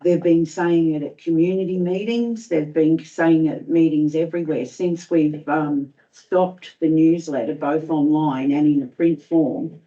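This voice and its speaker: A woman councillor arguing her point in a council meeting, her voice going hoarse. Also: sits low, fairly even intonation, unhurried, few pauses, dark tone. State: losing her voice. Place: council chamber. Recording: dull lo-fi meeting audio.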